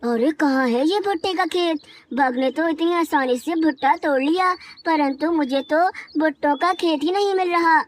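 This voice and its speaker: A woman voicing a small, naive male insect in a children's animated story, eager and childlike. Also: high-pitched, normal speed, slight background noise, lightly acted. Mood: eager, curious.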